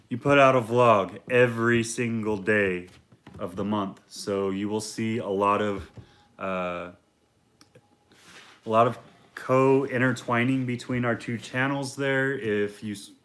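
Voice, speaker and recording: Deep voiced guy in his 30s just rambling to camera, lots of ums, laid back. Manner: relaxed and rambling, many fillers. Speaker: reptile vlogger. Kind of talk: casual talk to camera.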